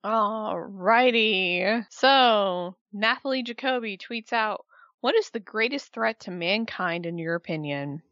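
A woman co-hosting a casual wrestling talk radio show, chatty and animated, saying her voice does not go low. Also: takes it slowly, no echo, lo-fi sound. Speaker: podcast co-host.

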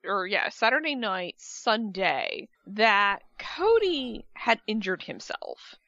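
A woman co-hosting a casual wrestling talk radio show, chatty and animated, saying her voice does not go low. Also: slow pace, no echo, highs cut off. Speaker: podcast co-host.

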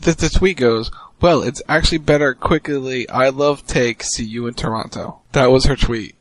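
Relaxed man reading tweets, dry sound. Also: medium pitch, normal speed, dry recording, rough recording. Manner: relaxed, joking asides between quotes. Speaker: podcast co-host. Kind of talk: casual chat reading tweets aloud.

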